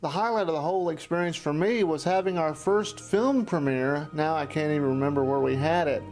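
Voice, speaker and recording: A man's voice: on the high side, brisk, darkish tone, soft background music. A middle-aged American man narrating a look back at his amateur filmmaking. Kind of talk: retrospective narration to camera.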